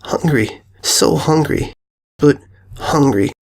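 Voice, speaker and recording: A man's voice: fairly high pitch, takes it slowly, gravelly, voice pushed up, heavily acted. A man voicing a sick, hungry, anxious young filly in a fanfiction audiobook, with a weak, scratchy delivery. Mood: weak, groaning.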